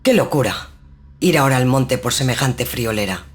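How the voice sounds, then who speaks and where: A woman's voice: rumbling low, animated, moderate pace, husky, full character voice. A woman playing a proud, mocking young noblewoman in a dramatized gothic horror tale, with an ironic, indifferent manner. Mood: mocking indifference.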